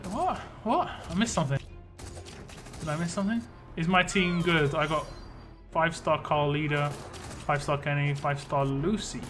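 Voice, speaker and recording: A man casually chatting on a live stream, reading viewer comments aloud and answering them as he plays. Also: fairly high pitch. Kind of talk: casual live stream chat while playing a mobile game.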